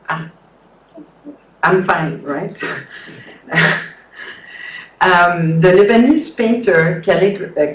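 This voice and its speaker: Careful academic man reading a paper at a slow pace, faint room noise behind. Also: high voice, a bit bright. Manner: measured, careful, with some hesitant restarts. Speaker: conference speaker. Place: lecture hall. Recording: echoey hall, distant mic.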